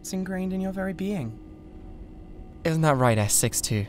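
A theatrical British mad scientist, wildly expressive. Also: female voice, deep voice, moderate pace, a little hiss or hum. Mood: contemptuous, menacing. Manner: theatrical, condescending, swinging between hushed and screaming. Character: unhinged, megalomaniac mad scientist with a god complex. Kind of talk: dramatic ASMR roleplay monologue.

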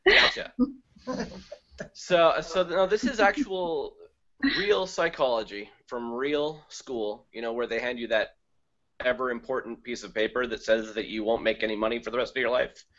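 A man speaking casually on a video call, joking and self-deprecating as he pitches his talk, sounding a little groggy. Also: moderate pace, a bit bright. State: groggy, just woke up. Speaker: event speaker. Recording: muffled lo-fi call audio.

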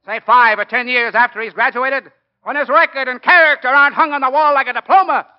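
An actor playing a genial, restless middle-aged coach and devoted husband in a brisk, affable 1940s radio drama style. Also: male voice, very high voice. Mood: rousing. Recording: dull old 1940 radio recording.

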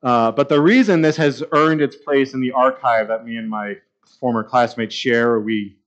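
A man's voice: mid-range voice, moderate pace. Guy pastor giving a sermon, sarcastic asides, kinda muffled church stream audio. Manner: wry, conversational, sarcastic asides. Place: church sanctuary, at a pulpit. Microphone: pulpit mic, far camera. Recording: slightly dull church livestream audio.

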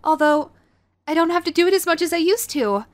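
A woman's voice: very high-pitched, animated, brisk. A woman playing a young, sweet, clingy yandere girlfriend in an intimate audio roleplay, with a cutesy, hesitant manner. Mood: remorseful, hesitant. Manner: cutesy, hesitant, gushing pet names. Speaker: voice actress.

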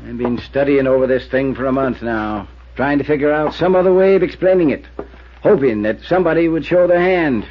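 Man playing husky country constable, rural american drawl, laconic n stubborn, old radio show, muffled sound. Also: medium pitch, brisk, natural voice. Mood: troubled, reflective. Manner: folksy, drawling, understated. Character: laconic, folksy small-town male constable, stubborn and loyal. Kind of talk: 1950s American radio drama acting. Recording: muffled 1950s radio recording.

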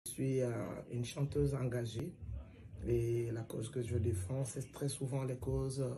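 A middle-aged woman from Mali, a singer and griot, giving measured, reflective interview answers with pauses. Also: rumbling low, level tone, mellow. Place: plain indoor room, seated at a table.

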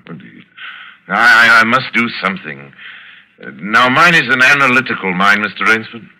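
An older aristocratic big-game hunter in a vintage radio thriller. His low voice swoops widely and cuts through with a sharp, forceful edge. He is suave, deliberate and chilling, relishing every phrase. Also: animated, poor audio. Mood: brooding, confiding. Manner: suave, deliberate, theatrical, savoring words. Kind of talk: 1940s radio acting. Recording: vintage radio drama broadcast recording.

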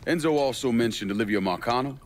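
An actor playing a stern young crime boss in a game, firm and profane. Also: male voice, mid-range voice, expressive, fast talker, a little hiss or hum, close to an everyday voice. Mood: grim, matter-of-fact.

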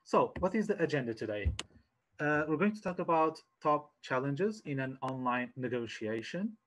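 A man, giving an informal online presentation as a sales coach in a hesitant, halting way, repeating words, on a headset. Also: a little high, sing-song, fairly bright tone. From Portugal. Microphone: headset on a video call.

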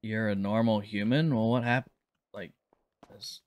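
A man's voice: fairly low pitch, fairly even intonation, measured pace, highs cut off. A man improvising in a game roleplay, chatting in a hesitant, bemused manner. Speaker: roleplay streamer playing a police lieutenant.

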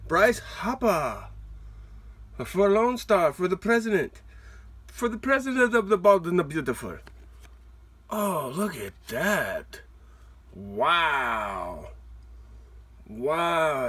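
A man hosting a card-opening video with excited, playful casual commentary, given to exclamations. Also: a little high, takes it slowly, pauses often, breathy edge. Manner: exuberant, exclaiming and whooping, playful. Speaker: host of a trading card opening channel. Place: indoors, at a table with cards.

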